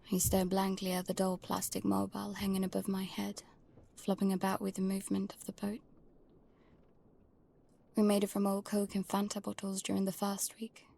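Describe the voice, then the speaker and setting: A woman playing a protective young girl who narrates her life at sea in a hushed, intimate way for an audio drama. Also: medium pitch, monotone, moderate pace, slight background noise, natural voice. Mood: wistful. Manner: hushed, breathy, often near whispering. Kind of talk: first-person scripted audio drama narration.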